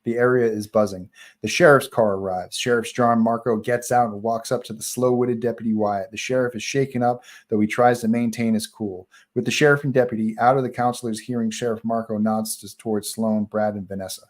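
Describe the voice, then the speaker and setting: A man's voice: medium pitch, fairly even intonation, brisk, few pauses. A man narrating the stage directions of a horror script at a live online table read. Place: online group call.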